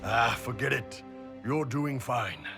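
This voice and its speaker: An actor playing a big, gruff horned mercenary captain in a video game, blunt, wry and casual. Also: male voice, medium pitch, takes it slowly, music in the background. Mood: wry, matter-of-fact.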